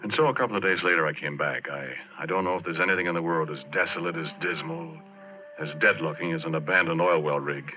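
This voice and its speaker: An actor playing a haunted, plain-spoken oil rig roughneck, telling his story haltingly and colloquially in 1940s radio drama style. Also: deep voice, noisy background, natural voice. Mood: hushed dread. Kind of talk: 1940s radio drama acting, first-person narration. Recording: muffled vintage 1940s radio recording, organ-era music.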